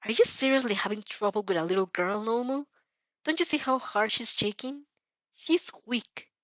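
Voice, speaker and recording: A woman voicing a mocking, cold male villain with a raspy, taunting delivery and perverse glee, in a fan fiction reading. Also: on the high side, normal speed, a bit bright, no room sound, natural voice. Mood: mocking, impatient. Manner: taunting, menacing.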